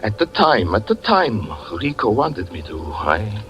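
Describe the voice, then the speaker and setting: An actor playing a grief-stricken magician who recounts a tragedy, speaking slowly and brooding, then crying out in anguish, in 1940s radio acting style. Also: male voice, on the low side, huge pitch swings, fairly bright tone, soft background music, exaggerated voice. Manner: slow, brooding, breaking into anguished cries.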